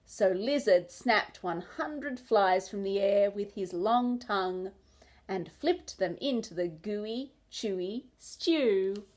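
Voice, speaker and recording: A woman storyteller reading a children's picture book aloud in expressive narration. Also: mid-range voice, takes it slowly.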